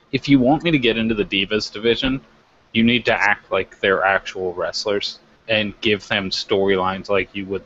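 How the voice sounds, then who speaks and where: Guy, lowish voice, blunt casual opinions, dull recording with some noise behind. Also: fairly bright tone. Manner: blunt, critical. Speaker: co-host of a wrestling review show. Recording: dull, lo-fi, noisy background.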